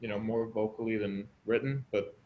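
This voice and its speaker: A man's voice: on the low side, steady tone, normal speed, phone-quality sound. Young male student over faint background noise.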